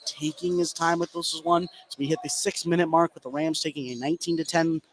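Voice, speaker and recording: A man doing live play-by-play basketball commentary for radio, getting excitable on big plays. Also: a little high, a little fast. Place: indoor basketball gym. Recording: noisy live gym broadcast. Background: loud game ambience.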